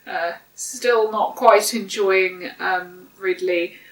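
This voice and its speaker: A woman's voice: medium pitch, fairly even intonation, takes it slowly, echoey room, recorded from a distance, rough recording. A woman in casual chat at home, a bit sniffly from hay fever, talking hesitantly with many ums. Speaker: co-host. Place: home living room sofa.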